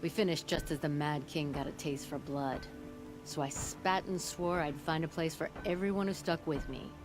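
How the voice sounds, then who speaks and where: Woman playing a brash, low-voiced forge boss. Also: fairly even intonation, moderate pace, close to an everyday voice. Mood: boastful, amused. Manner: blunt, wry, folksy boasting. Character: brash, proud older forge boss woman, gruffly humorous. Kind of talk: video game character acting. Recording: music under the voice.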